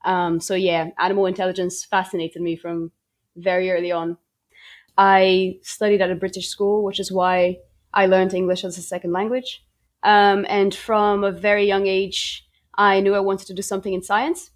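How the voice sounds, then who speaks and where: A woman's voice: mid-range voice, little pitch movement, normal speed. Brazilian-born woman researcher chatting casually. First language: Brazilian Portuguese. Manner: chatty, many ums and restarts. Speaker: PhD researcher guest. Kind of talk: podcast interview, casual storytelling.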